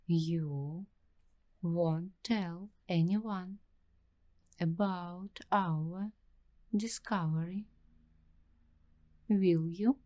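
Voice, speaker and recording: A woman performing an ASMR roleplay as a paranoid young waitress, speaking in a secretive, deliberate hush that drifts into whispers. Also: lowish voice, dull audio. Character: paranoid young waitress investigating a creepy attic mystery. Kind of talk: ASMR roleplay, slow scripted monologue.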